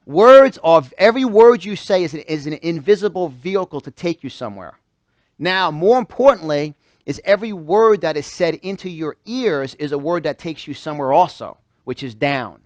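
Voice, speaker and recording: A man's voice: a little high, expressive, moderate pace, no echo. A man from New York giving a motivational seminar, emphatic, repeating phrases and firing questions at his listeners. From New York, United States. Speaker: motivational speaker. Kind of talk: motivational seminar talk to a live audience. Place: live event room with a small audience.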